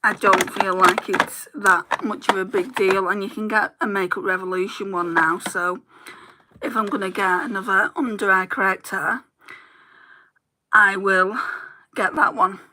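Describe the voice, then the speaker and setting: A woman's voice: medium pitch, fairly even intonation, a little slow, sharp tone. A British woman chatting casually while she does her makeup live, greeting viewers by name in a rambling way, her throat getting a little sore. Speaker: beauty vlogger, livestream host.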